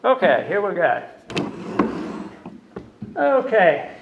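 A loud man from Norfolk, telling his personal story in a folksy way as guest speaker at a recovery meeting. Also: high-pitched, big pitch swings, drawn-out words, vocal fry, background noise. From Norfolk, USA. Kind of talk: personal testimony to a group. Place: meeting room. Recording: echoey, dull meeting-room recording.

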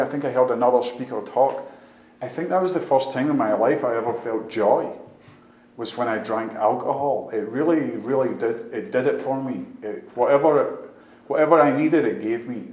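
A man's voice: mid-range voice, a little mellow. A man in his fifties with a Glasgow-area Scottish accent, giving a plain-spoken, conversational personal testimony to a group. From Scotland, just outside Glasgow. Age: 50s. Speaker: speaker sharing at a recovery meeting. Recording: echoey room with faint background noise.

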